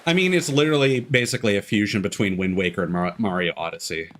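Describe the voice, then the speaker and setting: A man livestreaming a video game, chatting casually in a rambling, halting way with long pauses. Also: lowish voice, fairly bright tone, a little hiss or hum. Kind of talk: casual chat while playing a game. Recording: faint game music behind. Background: game music.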